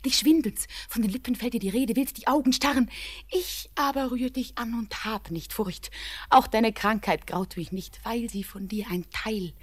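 A woman plays a young, devoted bride in a classic radio play. She is anxious and speaks with intimate, pleading tenderness, slipping into breathy whispers. Her voice sits high. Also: normal speed, lightly acted. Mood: tender. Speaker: Anna, the bride.

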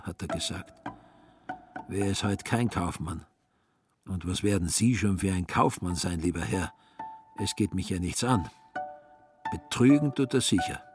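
A man narrating a literary radio play in a wry storytelling manner. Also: deep voice. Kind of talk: literary narration with acted inner monologue.

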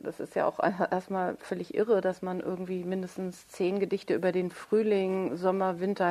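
A woman's voice: medium pitch, a little mellow. A woman speaking as an interview guest and author, explaining her work in a thoughtful, articulate and reflective way.

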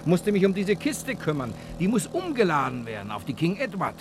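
An actor playing a determined, persuasive adventurous husband in a German television drama. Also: male voice, sits high, wildly swooping pitch, fairly quick, noisy background, heavily acted. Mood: brisk, hearty.

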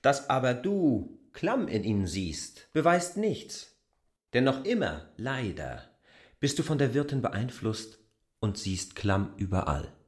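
An insistent, argumentative lover in a radio play. His mid-pitched voice swings widely as he presses each point with emphasis. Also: very animated, takes it slowly, a little mellow. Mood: accusing. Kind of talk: literary radio drama acting.

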